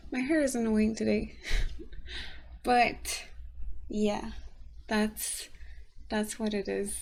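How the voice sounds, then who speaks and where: A young woman with a raspy, tired voice, talking casually to her camera in a rambling, halting vlog. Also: on the high side. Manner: rambling, halting, frequent restarts and soft laughs. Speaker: vlogger.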